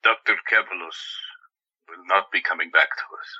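A man's voice: low-pitched, moderate pace, pushed and bright, breathy edge, muffled recording, exaggerated voice. An actor playing a curt, irritable male doctor in an audio drama, dry and brusque. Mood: grave.

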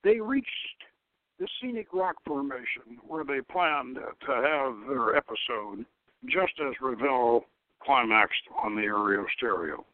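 A man's voice: mid-range voice, expressive, slow, no echo, phone-quality sound. A man giving a dramatic, theatrical reading of a story, stumbling over the odd word. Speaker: show host reading own novel.

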